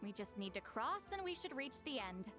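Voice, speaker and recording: Haughty, dry female adventurer for a game, higher voice with lively inflection. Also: a little fast, soft background music. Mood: matter-of-fact. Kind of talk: video game voice acting.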